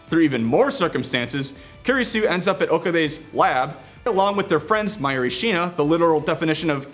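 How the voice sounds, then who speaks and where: Male reviewer, higher voice, very animated intonation, scripted with casual asides, music underneath. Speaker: video game reviewer and show presenter.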